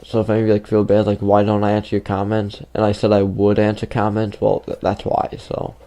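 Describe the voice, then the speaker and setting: A man's voice: lowish voice, monotone, a little fast, dark tone. A fifteen-year-old boy telling a rambling story over gameplay, full of fillers, while losing his voice. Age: teens. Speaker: gaming YouTuber.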